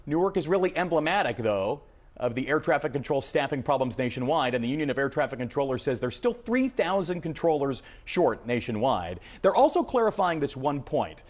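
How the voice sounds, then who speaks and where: An American man delivers a live news report as an aviation correspondent. His pitch moves in lively swings as he explains things. His phrasing is punchy and emphatic.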